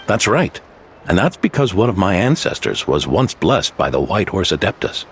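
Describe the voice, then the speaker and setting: A man's voice: deep voice, big pitch swings, normal speed, background noise. A man voicing a kindly older guest house keeper who tells legends in a video game. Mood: storytelling.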